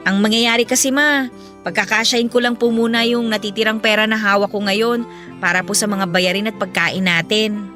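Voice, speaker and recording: A woman's voice: mid-range voice, steady tone, brisk, pushed and bright, faint music behind, lightly acted. An actress playing a dutiful, hurt young Filipino daughter who pleads respectfully with her mother in a radio drama. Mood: careful, apologetic. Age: young adult. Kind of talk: emotional radio drama acting.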